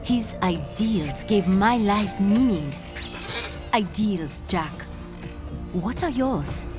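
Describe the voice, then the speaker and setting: Female mercenary villain, unhurried, seductive and taunting, video game cutscene, music behind. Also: medium pitch, expressive. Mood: proud, reflective. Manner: theatrical, languid, taunting. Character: seductive, menacing female mercenary killer, half French, coolly taunting.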